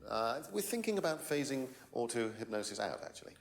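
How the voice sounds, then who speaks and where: An actor playing an evasive course leader in a mystery game, hedging and getting testy under police questioning. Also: male voice, mid-range voice, very animated, brisk, gravelly. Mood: guarded, evasive. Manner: defensive, hedging. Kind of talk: live-action game drama dialogue.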